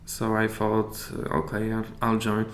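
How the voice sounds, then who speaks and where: A man with a Polish accent talks through his answers as a guest, pausing to hunt for the right English word. His voice sits low and stays flat and even. The room is echoey, with a faint hiss underneath. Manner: hesitant, often searching for English words. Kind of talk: casual interview chat.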